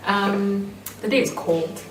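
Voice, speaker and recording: A woman with a British-schooled Kenyan accent, reflecting aloud to a group in a thoughtful, halting way. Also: medium pitch, steady tone. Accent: Kenyan with British-school influence. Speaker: performer. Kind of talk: reflective personal talk in a group. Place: indoor room at an in-person group meeting. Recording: echoey room, distant mic, faint noise.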